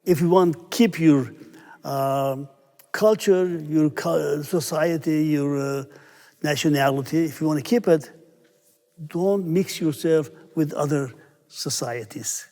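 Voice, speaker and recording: A man in his eighties from Syria, a priest answering interview questions in English, unhurried and searching for words. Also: fairly high pitch, warm tone. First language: Armenian. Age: 80s. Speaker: priest, church abbot. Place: large stone church. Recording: long church reverb.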